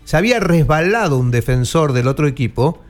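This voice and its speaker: A man's voice: fairly high pitch, animated, brisk. A man in his fifties with a Rioplatense Argentine accent, hosting a casual radio show and teasing his co-host as he chats about football and music. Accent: Rioplatense Spanish. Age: 50s. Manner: chatty, teasing, trips over foreign names. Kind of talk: casual sports and music chat.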